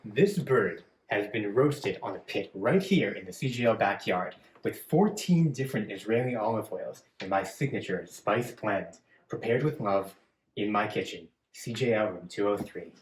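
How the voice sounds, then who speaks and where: A man's voice: fairly low pitch, expressive, moderate pace, lightly acted. A man playing a pompous wedding caterer who announces his dish grandly. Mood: proud. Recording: echoey room, faint noise.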